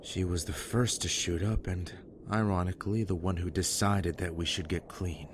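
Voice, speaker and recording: A man acting in an audio drama as a bitter, wounded, foul-mouthed survivor with a halting, raw delivery. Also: low voice, fairly even intonation, slight background noise, lightly acted. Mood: halting, pained.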